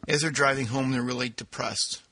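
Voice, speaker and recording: A man's voice: medium pitch, fairly even intonation, brisk, sharp tone, no room sound. A man co-hosting a podcast, chatting casually and telling jokes with a giggly manner. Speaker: podcast co-host.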